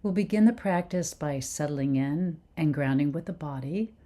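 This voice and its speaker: Woman, meditation teacher, very low pitch, soft dark tone, soothing, gentle guidance. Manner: soothing, gentle, unhurried phrasing. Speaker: meditation teacher leading a guided practice. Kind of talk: guided meditation instruction.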